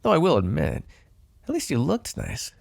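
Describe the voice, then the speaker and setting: Man acting as smug male vampire, ASMR roleplay, gravelly, swooping intonation, sardonic, flirtatious. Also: fairly high pitch, huge pitch swings, moderate pace, a little mellow, no echo, clean studio sound, full character voice. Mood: teasing, amused. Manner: sardonic, flirtatious, swearing, sighing. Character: smug, teasing male vampire, possessive but fond.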